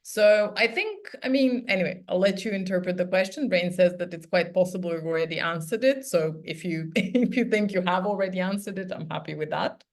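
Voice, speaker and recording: Low-voiced woman moderating a Q&A. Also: a little fast, few pauses. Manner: reads an audience question aloud.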